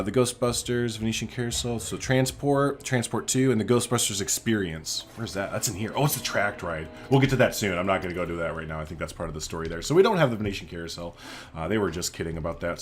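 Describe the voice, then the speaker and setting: A man's voice: on the low side, a little hiss or hum. A man hosting a gaming video, rambling casually and thinking aloud as he plays. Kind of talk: casual game commentary.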